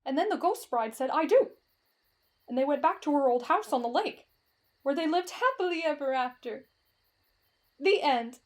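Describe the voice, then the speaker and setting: Woman acting nervous lovesick teen anime boy, whiny and dramatic, really high voice that jumps all over. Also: animated, moderate pace, pauses often. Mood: earnest, defensive. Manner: dramatic, whiny, swinging between fretful and gushing. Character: timid, excitable, lovestruck teenage boy on a camping trip. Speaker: voice actor in roleplay audio. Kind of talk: anime-style ASMR roleplay drama.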